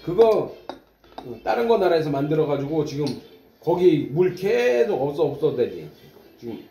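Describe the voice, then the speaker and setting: A man's voice: a little high, sing-song, moderate pace, highs cut off. A man chatting casually over a meal at a restaurant, explaining things and repeating words while he searches for them. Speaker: friend at the table. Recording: echoey restaurant with loud clattering dishes.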